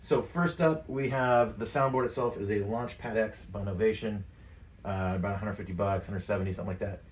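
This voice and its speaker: A man's voice: fairly low pitch, fairly even intonation, a little fast. A middle-aged American man giving a casual tutorial demonstration. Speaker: tutorial presenter. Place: furnished game room.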